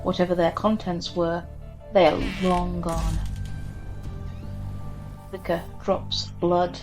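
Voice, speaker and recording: A woman reading a scripted horror story in the second person, with a measured, grave delivery. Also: fairly low pitch, level tone. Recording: music behind the voice. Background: background music.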